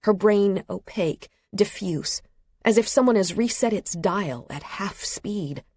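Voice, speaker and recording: A woman reading literary fiction as an audiobook narrator, with a measured, expressive delivery and a slightly husky voice. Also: mid-range voice, no room sound, studio quality. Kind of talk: scripted literary fiction narration, present tense. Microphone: close studio microphone.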